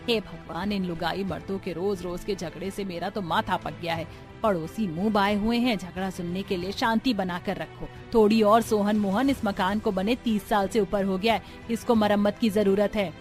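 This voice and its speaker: Give a weary village mother-in-law, voiced by a woman narrator in a cartoon story. She complains and scolds in a mid-pitched voice, with music playing softly underneath. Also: steady tone, normal speed, natural voice. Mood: exasperated, weary. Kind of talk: Hindi cartoon story dialogue.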